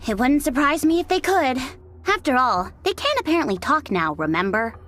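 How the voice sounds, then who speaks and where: A woman's voice: high-pitched, very animated, moderate pace, fairly bright tone. An actress playing a sassy, playful young woman soldier in a video game. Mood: cheeky. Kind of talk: English video game dub acting. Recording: music behind the voice.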